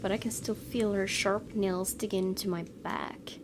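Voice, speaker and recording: A woman's voice: medium pitch, fairly even intonation, normal speed, background noise. A woman gaming YouTuber doing a let's play, reading story text aloud expressively with casual asides. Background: game music.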